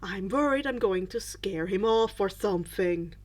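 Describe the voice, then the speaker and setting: A woman voicing a grumpy, socially awkward teenage boy, dry and low-spirited, in a fan fiction audiobook. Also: lively intonation, moderate pace, close to an everyday voice. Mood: awkward, worried.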